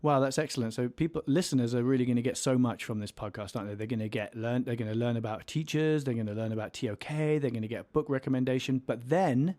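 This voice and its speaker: A man's voice: medium pitch, fast talker, hardly any pauses, warm tone. A man in his thirties, a teacher co-hosting a casual podcast with a playful, self-mocking humor. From England.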